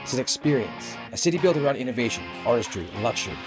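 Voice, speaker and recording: A man's voice: medium pitch, animated, very fast. A man presenting scripted promotional narration in polished phrases. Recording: music under the voice. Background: music with plucked guitar.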